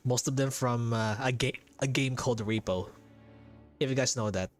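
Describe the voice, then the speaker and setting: A man talks casually to his livestream viewers as he plays a game. His voice sits at an average pitch, with some game music playing behind it. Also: normal speed. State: hiccups.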